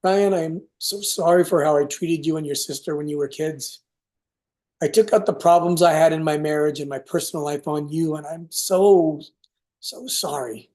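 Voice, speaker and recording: A man's voice: on the high side, moderate pace, a little mellow, natural voice. A middle-aged man playing a remorseful, pleading father of an estranged adult son in a staged reading of a play. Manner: earnest, pleading, apologetic.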